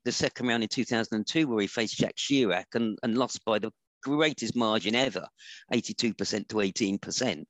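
A man on a discussion panel, explaining in a measured, analytical way. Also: mid-range voice, fairly bright tone, dry recording, poor audio. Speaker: panel guest.

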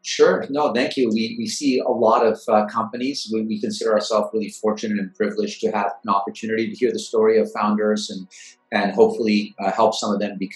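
A man in his forties, originally from Iran, answering interview questions in a measured, thoughtful way with many fillers. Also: on the low side, little pitch movement, faint music behind, poor audio. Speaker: guest, venture investor.

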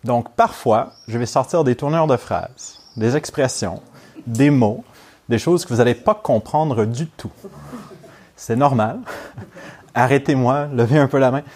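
A young man from Montreal with a Québécois French accent opens a training course for a group of learners. He talks in an easy, chatty way at a middling pitch and stops often to check that the class follows him. English is his first language. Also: a little mellow. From Montreal, Quebec, Canada. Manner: chatty and informal, with quick laughs and "ok?" checks. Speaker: neurofeedback trainer.

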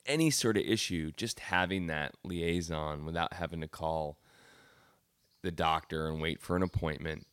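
Man, interview host, deep voice, smooth resonant tone, halting casual delivery. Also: fairly bright tone. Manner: halting, restarts sentences, laughs easily.

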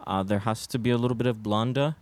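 A man playing a musician in a deadpan mock interview, halting and stammering, earnest but evasive. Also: mid-range voice, dry recording. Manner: hesitant and full of fillers. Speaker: interviewed musician in a comedy sketch.

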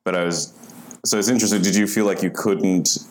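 A man's voice: low voice, steady tone, a little fast. A man hosting an interview, asking loose, rambling questions with many restarts and fillers. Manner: hesitant, rambling questions full of "like". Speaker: interviewer, podcast host. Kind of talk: casual interview.

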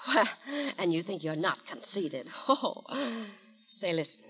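A woman's voice: fairly low pitch, sing-song, measured pace, a bit bright. An actress playing a brash, mischievous young woman in a 1930s radio comedy, sassy and wisecracking. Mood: mocking, needling. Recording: vintage 1930s radio broadcast.

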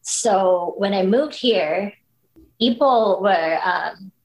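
A woman's voice: mid-range voice, animated, extremely slow, rough recording. A woman, candidly telling her story as a podcast guest. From Philippines. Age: 30s. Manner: candid, storytelling, frequent likes and you knows. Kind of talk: casual interview conversation.

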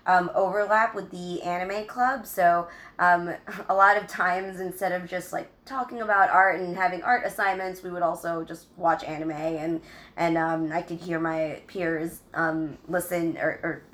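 A woman in her thirties from the United States, a guest talking casually in an interview, halting with many ums and restarts. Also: on the low side, a bit bright.

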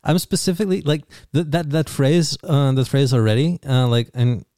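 A man hosting an interview in a smooth, mellow voice, recorded dry and close. He hesitates and restarts his sentences, leaning on uh and like. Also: medium pitch, steady tone, dry recording. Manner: halting, many restarts and fillers. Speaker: host. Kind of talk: conversational interview.

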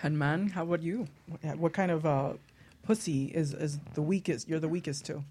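A woman's voice: low-pitched, normal speed, dark tone, slightly rough. A woman hosting a crude chat show, asking provocative questions. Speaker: host.

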